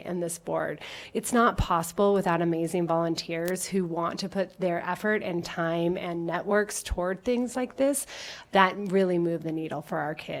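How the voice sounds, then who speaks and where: Woman executive director, voice still rough from a cold, lowish pitch, friendly tone. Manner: friendly, grateful, filler-heavy. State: recovering from illness. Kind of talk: meeting welcome and updates.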